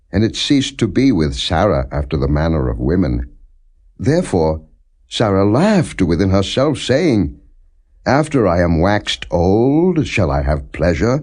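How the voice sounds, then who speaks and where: A man reading scripture as an audiobook narrator, in a solemn, measured, formal manner without dramatization. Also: low voice, a little mellow.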